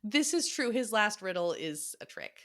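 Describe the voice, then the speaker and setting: A woman's voice: mid-range voice, very animated, moderate pace, a bit bright. A woman co-hosting a podcast, retelling a story in an informal, emphatic way during casual book discussion. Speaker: podcast co-host.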